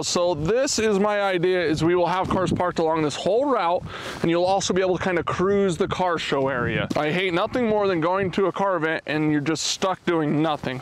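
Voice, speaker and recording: A man's voice: high-pitched, slight background noise. A man in his thirties hosting a casual promotional vlog outdoors at a race track, filming himself at arm's length and talking energetically with his hands. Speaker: automotive vlogger, event organizer. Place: outdoors at an open, windy race track facility. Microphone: camera held at arm's length.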